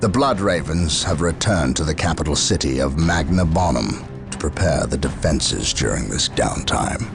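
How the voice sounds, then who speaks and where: An actor playing a stern veteran space marine commander in a video game, with formal, authoritative military diction. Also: male voice, rumbling low, animated, moderate pace, gravelly, music in the background, heavily acted. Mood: grave briefing. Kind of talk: video game cutscene acting.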